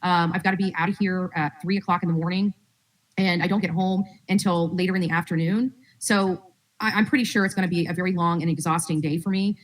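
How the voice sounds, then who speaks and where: Fast-talking, low-voiced woman livestreamer, slightly distant. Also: fairly even intonation. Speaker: true-crime livestream host. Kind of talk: casual livestream update to audience.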